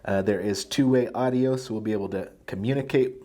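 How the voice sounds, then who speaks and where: A man's voice: on the low side, fairly quick, dark tone. A man presenting a product tutorial, explaining things step by step in a plain-spoken, casual way.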